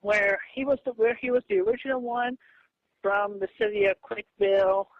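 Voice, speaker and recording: A man arguing on a phone call in a halting, stammering way, often repeating himself. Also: sits high, steady tone, no echo. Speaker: comic creator on a phone call. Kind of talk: argumentative phone conversation. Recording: muffled lo-fi phone call audio.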